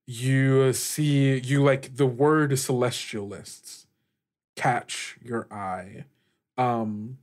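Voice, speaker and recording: A man works as game master, improvising narration for a tabletop game. His mid-pitched voice moves haltingly, with many fillers as he searches for the next phrase.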